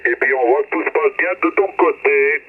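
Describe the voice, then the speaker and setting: A man's voice: a little high, brisk. A man chatting in a colloquial, teasing way as an amateur radio operator over a radio link. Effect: radio transmission. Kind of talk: casual radio contact chat. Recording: very noisy, tinny radio link.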